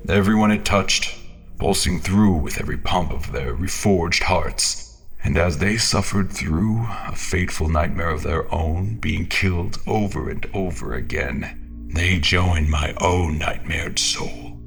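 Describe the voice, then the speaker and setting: Dark fantasy audio drama: male actor as brooding, guilt-ridden general, low creaky voice, solemn theatrical delivery over music. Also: exaggerated voice. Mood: dark, intense. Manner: solemn, brooding, theatrical, halting, drifting into whispers. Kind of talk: dramatic scripted first-person fantasy narration. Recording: background music under the voice.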